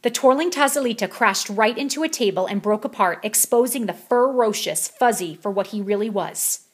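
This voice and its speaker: Very animated woman reading a children's storybook. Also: mid-range voice, normal speed, slightly rough. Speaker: read-aloud storyteller.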